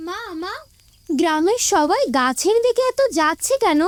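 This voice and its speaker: A woman voicing an earnest, kind-hearted village child in an animated moral story. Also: extremely high pitch, moderate pace. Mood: eager, earnest.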